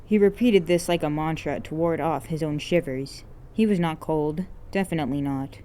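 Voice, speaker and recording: A woman's voice: deep voice, moderate pace, mellow, slight background noise. A woman reading scripted fiction aloud as an amateur narrator. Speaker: amateur podfic reader.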